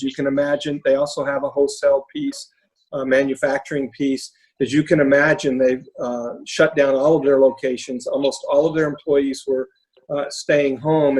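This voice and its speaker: A hoarse man with a raspy voice talking through case studies on a video call, halting with many fillers and restarts. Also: medium pitch, a little mellow, poor audio. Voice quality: raspy, lost voice. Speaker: panelist presenting case studies. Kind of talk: webinar talk.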